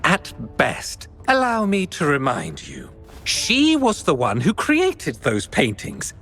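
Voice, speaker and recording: A smug art dealer drawls his slow, condescending replies in a video game. His voice rises and falls a great deal, and it sits a little high for a man. Soft music plays behind him. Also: exaggerated voice. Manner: condescending, dismissive, measured.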